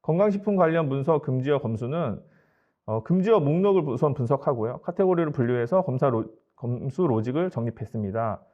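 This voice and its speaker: A man's voice: mid-range voice, animated, fairly quick, highs cut off. A man giving a formal, polite and matter-of-fact business tech presentation as a company presenter, explaining slides step by step.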